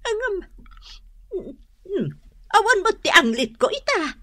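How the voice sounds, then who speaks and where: A woman's voice: very high-pitched, sing-song, takes it slowly, sharp tone, dry recording, exaggerated voice. A woman playing a defensive, querulous village neighbor in a comedic Ilocano radio drama, full of exclamations. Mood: chatty.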